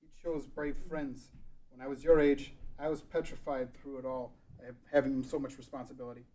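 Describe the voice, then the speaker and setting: A man's voice: mid-range voice, level tone, moderate pace, dark tone, close to an everyday voice, low-quality recording. A man reading a guilt-ridden, regretful ruler of a doomed land in a table read of a fan script. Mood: regretful, somber.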